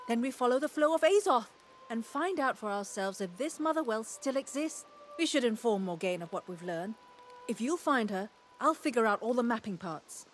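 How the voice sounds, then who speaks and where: A woman's voice: a little high, slight background noise, natural voice. An actress playing a curious, analytical woman scholar in a fantasy video game, articulate and inquisitive. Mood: focused, eager. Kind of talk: video game dialogue acting.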